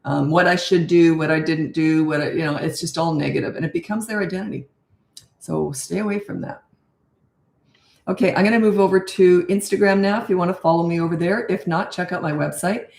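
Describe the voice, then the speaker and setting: An older woman, a parenting coach, talking blunt and chatty with a raspy voice on a live-stream Q&A. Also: on the low side, fairly even intonation, a little fast, a little mellow, reverberant.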